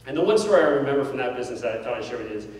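A man's voice: mid-range voice, very fast. A middle-aged man giving a keynote on a large auditorium stage. He tells his story candidly and with self-deprecation, gesturing and moving as he talks. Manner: animated, gestures while pacing the stage. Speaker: keynote speaker. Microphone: handheld mic. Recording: echoey hall, voice from far off.

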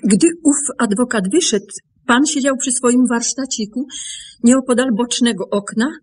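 An actress playing a grave, composed woman with a secret to confess in a radio play, speaking deliberately with pauses. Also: fairly high pitch, a little mellow, breathy edge. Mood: measured recounting. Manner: deliberate, measured, broken by pauses.

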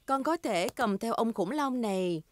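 A woman voicing a gentle, caring mother pig in a dubbed preschool cartoon. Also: a little high, lively intonation, measured pace.

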